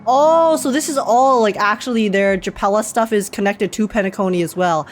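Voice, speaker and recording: A woman's voice: medium pitch, moderate pace. A woman streamer giving informal, giggly, casual reaction commentary on a video game. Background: game music.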